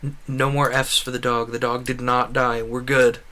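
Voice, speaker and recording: A man's voice: medium pitch, little pitch movement, bright, forceful tone. A man chatting casually over gameplay as a livestreamer, often breaking off and rephrasing as he rambles. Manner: halting, self-correcting, trails off and rephrases. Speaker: livestreamer and video creator.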